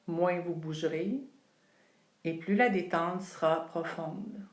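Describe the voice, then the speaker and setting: A woman leading a guided yoga relaxation, speaking calmly and soothingly with long pauses between phrases. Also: fairly low pitch. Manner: calm, unhurried, soothing delivery with long pauses. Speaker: yoga nidra instructor. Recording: roomy, echoing sound.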